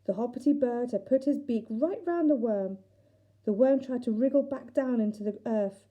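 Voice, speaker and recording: A woman narrating a children's storybook in an expressive, deliberate storytelling manner. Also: fairly high pitch. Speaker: teacher reading aloud.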